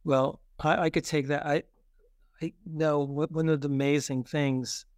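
Male guest, middle-aged, level delivery, clear dry sound, halting casual talk. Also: a little high, flat delivery, a little mellow, no room sound. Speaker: guest, children's book author.

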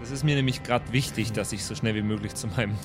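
A man's voice: on the low side, fairly even intonation, fast, music in the background. A man improvising casually in character as a player in a tabletop role-playing game.

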